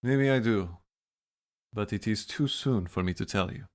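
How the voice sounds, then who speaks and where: A man acts out a grave man of foggy Victorian London. His voice sits very low, and he speaks in a measured, guarded, fatalistic way. It is radio drama, with music playing behind him. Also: lightly acted.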